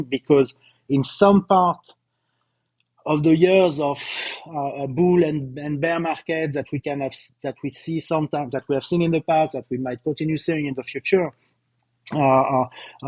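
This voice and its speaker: Man, slight French accent, middle-aged, fairly high voice, dry close sound, emphatic, halting with fillers. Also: a little mellow, no echo, low-quality recording. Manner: repeats words, many filler sounds, emphatic. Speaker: company co-founder presenting results. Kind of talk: live corporate presentation.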